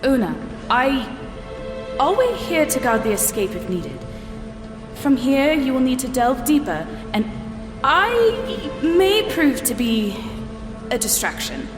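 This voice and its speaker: A woman voicing a loyal young adventurer in an audio drama, speaking slowly and deliberately. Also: high voice, very animated, music in the background, full character voice. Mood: earnest. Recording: echoey hall reverb.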